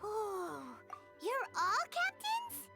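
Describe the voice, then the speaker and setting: A woman voicing a tiny, excitable fairy companion in an anime video game, with a squeaky childlike voice and wide-eyed, exclamatory delivery. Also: extremely slow, exaggerated voice. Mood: surprised, excited. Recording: game music behind.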